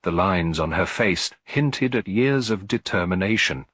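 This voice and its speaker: A male narrator tells a story in a measured, literary way. His voice is low and a little husky, with an expressive rise and fall, recorded very dry. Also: dry recording. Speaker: audiobook narrator.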